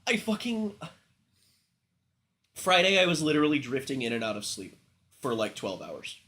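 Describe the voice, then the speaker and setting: A man's voice: on the high side, big pitch swings, normal speed, sharp tone. A man who is sick and congested, coughing now and then as he rambles through a casual livestream chat, swearing often and filling gaps with ums. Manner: rambling, frequent swearing and filler words. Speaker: livestream host.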